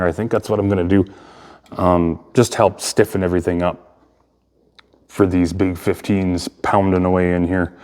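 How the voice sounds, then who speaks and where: Male hobbyist host, low clean voice, informal hands-on explainer delivery, thinking aloud, reverberant room sound. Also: darkish tone, clear, resonant tone. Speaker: hobbyist video host and speaker restorer. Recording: echoey room with long reverb.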